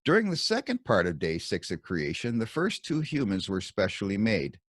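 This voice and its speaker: A man reading his own scripted Bible commentary as narration, in measured, deliberate short phrases. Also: fairly low pitch, wildly swooping pitch, no echo, clean studio sound.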